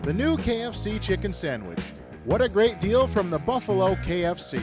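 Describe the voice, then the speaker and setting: A man's voice: high-pitched, big pitch swings, normal speed. An American man reading an upbeat radio commercial as an announcer. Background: drum-driven music bed.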